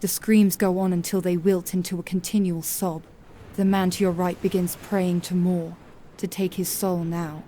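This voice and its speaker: Female narrator, lowish pitch, flat intonation, solemn, measured, dramatic scripted storytelling. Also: slight background noise.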